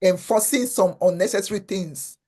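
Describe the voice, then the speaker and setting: A woman's voice: medium pitch, normal speed, dark tone, breathy edge. A woman originally from Africa, a guest in an interview, speaking earnestly and often repeating words while she finds them. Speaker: guest, foundation founder. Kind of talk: conversational interview.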